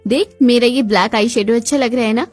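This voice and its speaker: Woman doing a high, chirpy, vain cartoon college girl. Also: a little fast, a little mellow, faint music behind, natural voice. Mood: gushing. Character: vain, chirpy college-age sister-in-law.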